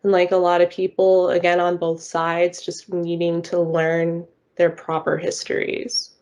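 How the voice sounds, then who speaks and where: A woman's voice: fairly low pitch, monotone, a little slow, a little mellow, muffled recording. A young woman from the United States, a podcast guest, talking thoughtfully with many "like" and "you know" hedges. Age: young adult. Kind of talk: casual podcast discussion.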